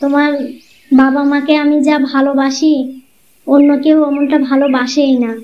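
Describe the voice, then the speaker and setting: A woman with a Bangladeshi Bengali accent voicing a jealous, scheming young wife in a cartoon, talking in complaining, quick retorts. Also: high-pitched, level tone, close to an everyday voice. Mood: defensive. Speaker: cartoon voice actor. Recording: dull lo-fi sound.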